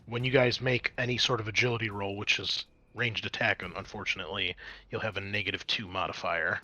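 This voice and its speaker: A man's voice: lowish voice, steady tone, a little fast, sharp tone. A man acting as game master, casually explaining rules and narrating a tabletop game.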